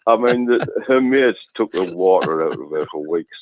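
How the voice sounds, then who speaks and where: A man with a deep voice, a caller telling a rambling story on a phone-in show and laughing as he goes. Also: animated, moderate pace, slight background noise, rough recording. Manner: chatty, rambling, laughs through his stories.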